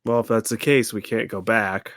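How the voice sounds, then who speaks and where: A man's voice: fairly low pitch, steady tone, normal speed, a bit bright, no echo, clean studio sound. A man chatting casually as a player in a tabletop role-playing game.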